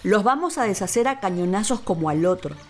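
A woman's voice: medium pitch, animated, brisk, soft background music, lightly acted. A woman voicing a bossy male warship officer in a children's story, calling out commanding orders.

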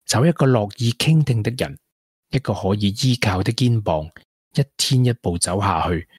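Actor as a husky, caring young Hong Kong man. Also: fairly low pitch, normal speed, dry recording, studio quality. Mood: reading poems solemnly. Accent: Hong Kong Cantonese. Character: supportive, down-to-earth young boyfriend, caring and sensible. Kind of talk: Cantonese radio drama acting.

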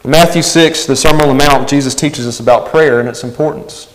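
A man's voice: medium pitch, steady tone, fairly quick, rough recording. A man preaching a sermon, earnest and emphatic, often asking his listeners "right?".

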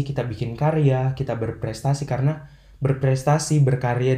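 A young man talks in careful, formal sentences, earnest and a little motivational, with fillers between thoughts. His voice sits at a middle pitch. The room around him rings noticeably. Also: dark tone. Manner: measured, formal phrasing with frequent fillers. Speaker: podcast guest, language ambassador. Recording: roomy echo.

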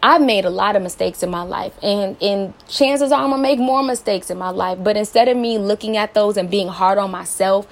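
Late-twenties woman, podcast host, earnest encouraging advice talk, mid-pitched voice. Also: brisk, hardly any pauses. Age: late 20s. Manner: earnest, encouraging, many rhetorical questions. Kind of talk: personal advice monologue.

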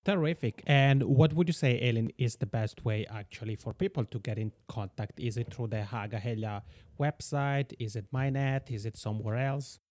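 A man's voice: mid-range voice, moderate pace, darkish tone, no room sound. Swiss-born man hosting a podcast. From Switzerland. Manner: friendly, asks long questions. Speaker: podcast host. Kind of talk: interview podcast.

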